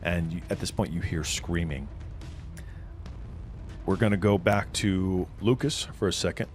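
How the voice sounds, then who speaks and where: Male game master, lower register, vivid improvised storytelling with dramatic description, light music underneath. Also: measured pace, darkish tone. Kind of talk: improvised tabletop game narration.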